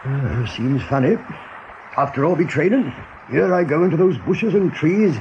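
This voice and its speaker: An actor in a radio play voicing a blustering, soldierly rabbit captain, bluff and hearty. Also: male voice, fairly high pitch, expressive, moderate pace. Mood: wry, resolute. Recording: muffled, faintly noisy radio play recording.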